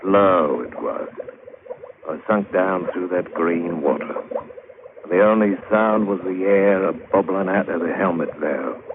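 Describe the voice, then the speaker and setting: Deep-voiced sailor spinning a yarn. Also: male voice, unhurried, noisy background. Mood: brooding, nostalgic. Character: British sailor George, weathered diver telling his sea tale. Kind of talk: old-time radio drama storytelling. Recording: tinny old radio recording with music.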